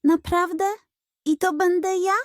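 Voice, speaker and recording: A woman voicing a naive, gullible and excitable female hen in a children's audio tale, wide-eyed and awestruck. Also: extremely high pitch, measured pace, dry recording, studio quality, pitched above the performer's own voice. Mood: delighted.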